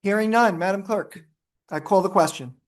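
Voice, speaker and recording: A woman running a local council meeting, calling for motions and debate in a brisk procedural way. She keeps things moving with short fillers between items. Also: medium pitch.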